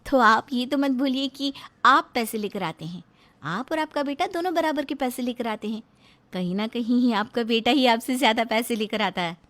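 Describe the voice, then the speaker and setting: A woman's voice: on the high side, moderate pace, a bit bright, natural voice. A woman voicing a protective, outspoken wife in a family melodrama, pleading yet argumentative. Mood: politely defiant. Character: protective, outspoken wife and daughter-in-law standing up to tyrant. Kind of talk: melodramatic audio story dialogue.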